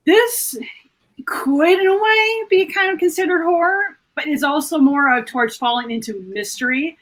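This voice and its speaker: Higher-pitched woman explaining as a panelist. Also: moderate pace. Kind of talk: casual explanatory fan recommendations.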